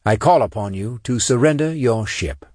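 A man's voice: medium pitch, expressive, normal speed, a little mellow, no echo, studio quality, pitched above the performer's own voice, close to an everyday voice. Man acting a clipped, commanding marine sergeant. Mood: firm. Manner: clipped, barking orders. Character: gruff commanding male marine gunnery sergeant.

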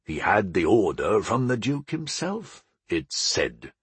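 Gruff, proud older father voiced by a man, low-pitched. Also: big pitch swings, no echo, exaggerated voice. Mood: grumbling. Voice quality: gruff, grumbling. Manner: unhurried, explaining, homespun wisdom. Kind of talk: audiobook character dialogue.

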